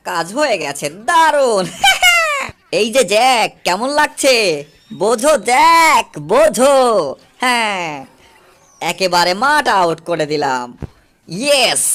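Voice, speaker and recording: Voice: medium pitch, fairly bright tone. A performer voicing a gleeful, mischievous cockroach in a comic cartoon dub, taunting and gloating over music. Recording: music under the voice.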